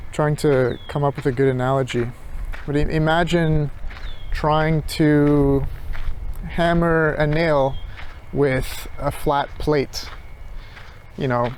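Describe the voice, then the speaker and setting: A man rambling casually and reflectively in a halting monologue as he walks outdoors, thinking aloud. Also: fairly high pitch, a little mellow, a little hiss or hum. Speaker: philosophy tutor vlogger. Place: outdoors, walking.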